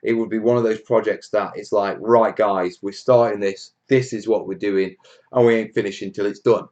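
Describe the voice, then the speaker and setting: Low-voiced man, casual and blunt. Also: steady tone, moderate pace, darkish tone, highs cut off. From Wales. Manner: blunt, informal, jokey, matey. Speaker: host of a hobby livestream. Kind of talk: casual live Q&A chat, answering viewers.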